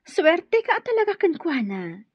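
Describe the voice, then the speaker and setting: Effusive young best friend for radio drama; Ilocano accent, high swooping voice, dry, dullish recording. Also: female voice, big pitch swings, unhurried, no echo, full character voice. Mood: admiring. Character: supportive, admiring young female friend, effusive. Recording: dry, dull studio audio.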